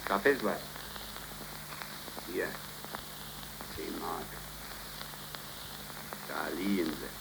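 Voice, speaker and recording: Troubled working-class man, lowish pitch, halting heavy delivery, earnest, 1930s radio drama, clear hiss and crackle. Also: heavily acted. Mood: subdued.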